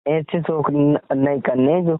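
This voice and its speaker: A man's voice: on the high side, steady tone, slow pace, no echo. A young man chatting casually and intimately with his girlfriend on a phone call, in a playful, teasing way. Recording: muffled, band-limited phone call recording.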